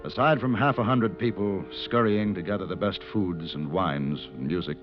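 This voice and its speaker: Man as wry American gunslinger, low voice, measured and dry, old radio western with dulled sound. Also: music in the background, lightly acted. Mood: wry narration. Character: cool, wry hired gunman, a mature man. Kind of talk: 1950s radio western acting and narration. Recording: dull vintage radio recording.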